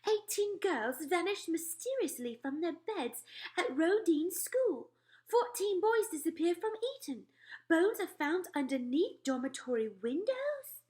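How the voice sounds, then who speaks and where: A woman's voice: extremely high pitch, very animated, unhurried, a little mellow, voice pushed up, full character voice. A woman reading aloud and voicing a refined, upper-class queen who exclaims and gives orders. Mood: alarmed. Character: refined elderly queen, composed yet shaken.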